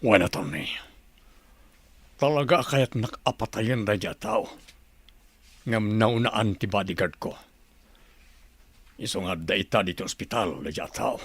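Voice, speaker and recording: An actor with an Ilocano accent playing a stern, authoritative male governor in a radio drama, speaking gravely. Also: on the low side, animated, a little slow, pauses often, a bit bright, dry recording, exaggerated voice. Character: stern male governor who survived an assassination attempt.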